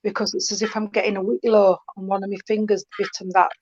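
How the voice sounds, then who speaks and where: A woman giving a spiritualist mediumship reading, talking warmly and calling the listener darling and sweetheart. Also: medium pitch, flat delivery, fast talker, no room sound. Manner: affectionate, calls the sitter darling and sweetheart. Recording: dull lo-fi stream with faint noise.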